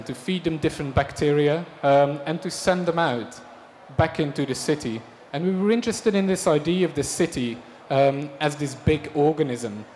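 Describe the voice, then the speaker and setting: A man from Belgium giving a conference talk about his design projects, relaxed and hesitant, with frequent ums. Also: fairly high pitch. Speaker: speaker presenting design projects. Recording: reverberant hall with faint noise.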